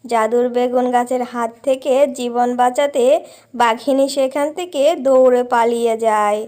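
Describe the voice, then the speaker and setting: A woman giving scripted narration for a children's animated fairy tale. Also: a little high, moderate pace.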